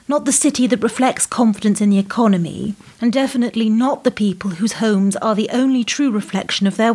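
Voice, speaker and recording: Sardonic, high-voiced woman power broker played by an actress. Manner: sardonic, condescending, rhetorical. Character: cool, menacing female establishment power broker. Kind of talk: radio play acting.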